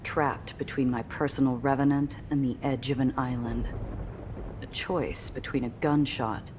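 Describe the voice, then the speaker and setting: A woman's voice: extremely low pitch, steady tone, measured pace, background noise. A woman playing a gritty, defiant adventurer in a video game, narrating her own peril in dramatic hardboiled noir style. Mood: tense, wry. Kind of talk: scripted hardboiled noir first-person narration.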